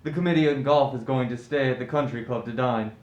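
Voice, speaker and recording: A man's voice: medium pitch, quick pace, darkish tone, highs cut off, close to an everyday voice. A man playing a breezy, self-absorbed upper-class husband and father in a radio play, with an offhand, blustering manner. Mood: dismissive. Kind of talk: scripted radio play acting. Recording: roomy echo, faint background noise.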